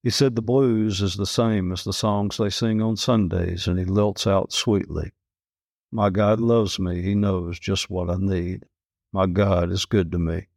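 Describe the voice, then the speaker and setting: A man's voice: low-pitched, steady tone, a little slow, creaky, darkish tone, no room sound, clean studio sound. A man reading a first-person travel story with folksy, colloquial phrasing. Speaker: story reader, farmer.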